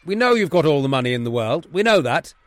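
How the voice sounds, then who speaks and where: A man playing a stern headmaster in a comedy sketch, scolding schoolboys in a loud, emphatic, repetitive way. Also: a little high, very animated, quick pace, dry recording.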